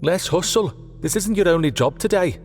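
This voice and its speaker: Man putting on a high-voiced, brisk woman mechanic. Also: lively intonation, a little mellow, soft background music. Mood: urging. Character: Lindsay, brisk bossy female garage mechanic. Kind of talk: audiobook character dialogue.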